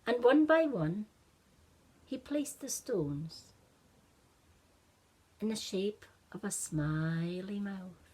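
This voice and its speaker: A woman tells a children's story at a slow pace with lots of dramatic pauses. Her pitch moves up and down in a lively way, and her voice has a breathy, husky edge. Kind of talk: expressive children's story narration.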